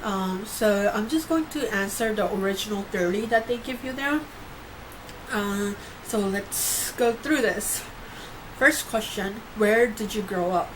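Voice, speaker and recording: Young woman vlogger from Korea and New York, mid pitch, rambling chat, audible background hiss. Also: a little slow. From Korea, grew up in New York. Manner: chatty, many fillers and restarts. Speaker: YouTuber. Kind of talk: casual vlog chat. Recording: noisy home recording.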